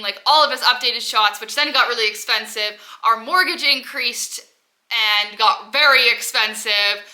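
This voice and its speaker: A young woman in her twenties chats to camera in a loose, rambling vlog, her talk full of "like". Her voice is very bright and sharp, and she sounds stuffy from a head cold. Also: fairly high pitch. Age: 20s. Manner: rambling, heavy use of "like". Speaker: vlogger. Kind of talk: casual personal vlog.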